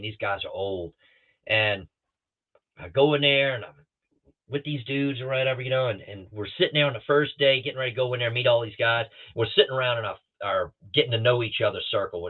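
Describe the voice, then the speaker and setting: A man spins rambling, animated stories from his early pilot training, often restarting his sentences and acting out what people said. He speaks at an everyday mid pitch. His voice comes through a thin, phone-like remote call line. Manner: rambling, animated, with many restarts and acted-out quotes. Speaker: guest pilot telling stories. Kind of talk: casual storytelling on a talk show.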